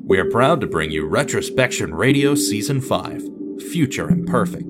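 A man's voice: fairly low pitch, big pitch swings, normal speed. A man reading scripted material as a radio theatre narrator and announcer, with guitar music behind him. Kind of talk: scripted dramatic story narration.

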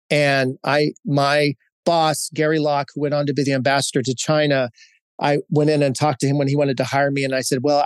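A man's voice: fairly high pitch, dry recording. A man in his fifties who grew up in Hawaii, giving candid, storytelling interview answers with frequent fillers and restarts. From Hawaii, United States. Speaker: guest, corporate executive.

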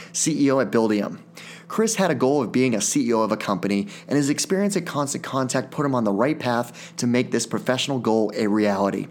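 A man hosting a tech podcast, reading a prepared intro and ad, then chatting with his guest in a friendly way. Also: mid-range voice. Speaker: podcast host. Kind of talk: scripted intro then conversational interviewing.

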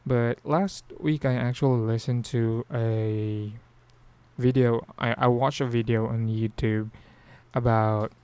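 A Filipino man with a Filipino accent talks unhurriedly on his solo podcast, rambling and restarting his sentences. His voice is mellow and smooth. The sound is dry and close. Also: medium pitch, steady tone, takes it slowly, no echo. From Philippines. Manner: rambling, with frequent restarts and fillers. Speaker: podcast host. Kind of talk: casual solo talk.

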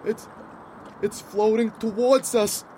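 Hesitant, higher-voiced companion. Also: male voice, steady tone, slow, darkish tone, a little hiss or hum. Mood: uneasy. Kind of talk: audio fiction comedy acting. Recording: faint water and boat ambience.

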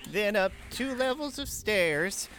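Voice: mid-range voice, lively intonation, slow pace, very noisy. A performer playing a driven, suspicious rebel in an audio drama, brusque and exasperated. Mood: urgent, bossy. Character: bossy, driven, suspicious rebel hauling food for their people.

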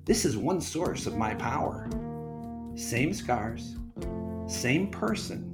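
Male guest reader, animated intonation, earnest delivery, guitar music under the voice. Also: medium pitch, slow pace, vocal fry. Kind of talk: reading an essay aloud.

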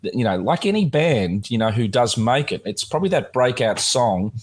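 A man hosting a music podcast, talking casually with a slightly croaky voice and lots of fillers and restarts. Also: medium pitch, sing-song, moderate pace. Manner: rambling, many fillers and restarts. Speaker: podcast host.

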